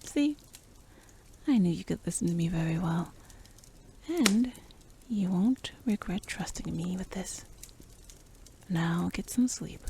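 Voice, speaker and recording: A woman performs a playful, cool-headed female rogue from a fantasy adventure. Her voice is soft and dark, often dropping to an intimate whisper. She takes her time with each teasing phrase, in ASMR roleplay style, over faint background noise. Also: on the low side, lively intonation, gravelly, full character voice. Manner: teasing, unhurried, half-whispered.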